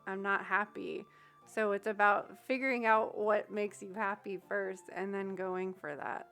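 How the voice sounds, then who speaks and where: A woman's voice: mid-range voice, flat delivery, measured pace, a bit bright, soft background music. A young woman chatting casually on a livestream, giving readings and thinking aloud with many fillers. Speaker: streamer giving tarot readings. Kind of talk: casual livestream chat with viewers.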